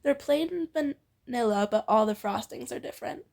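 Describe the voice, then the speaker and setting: A woman's voice: on the high side, lively intonation, moderate pace, a little mellow. A woman co-hosts a fan fiction reading, narrating playfully with giggly, teasing asides. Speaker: fan fiction reader and co-host. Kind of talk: playful co-read story narration with banter.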